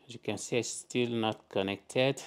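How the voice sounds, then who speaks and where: A man hosting a step-by-step tech tutorial, talking through each setting in plain, deliberate instructions at a desk indoors. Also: medium pitch, steady tone, smooth. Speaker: tutorial host.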